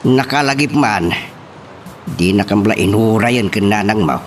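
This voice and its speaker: A man's voice: on the low side, measured pace, slight background noise, natural voice. A man playing an inquisitive father in an Ilocano audio drama. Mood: questioning. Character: inquisitive father of a grown daughter.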